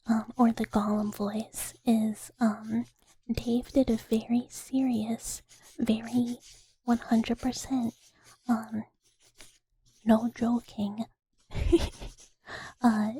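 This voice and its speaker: A young woman hosting an ASMR stream, whispering close to the mic in a breathy, giggly, self-mocking way. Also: fairly high pitch, dark tone, poor audio. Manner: giggly, self-mocking, hesitant with many ums. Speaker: livestream ASMR host.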